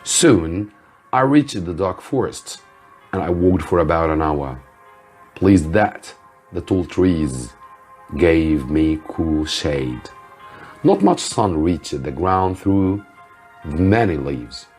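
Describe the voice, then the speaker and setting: Male narrator, low and slightly rough, unhurried teacherly storytelling over soft music. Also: big pitch swings. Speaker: English teacher reading a story aloud. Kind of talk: slow scripted story narration for learners. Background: music behind the voice.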